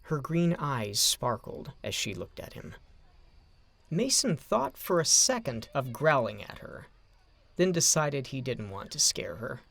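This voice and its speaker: Lively male storyteller, creaky and dry. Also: mid-range voice, measured pace. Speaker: narrator. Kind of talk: scripted story narration.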